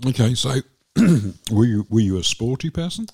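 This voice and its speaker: A man hosting a casual interview, phrasing his questions and remarks tentatively and haltingly. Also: mid-range voice, very animated, vocal fry, a little mellow, breathy edge. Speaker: podcast interviewer.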